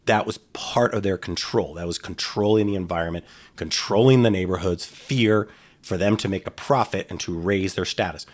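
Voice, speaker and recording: A man's voice: low-pitched, moderate pace. A middle-aged man talking casually in an interview, rambling with frequent restarts and fillers. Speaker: guest, former FBI agent.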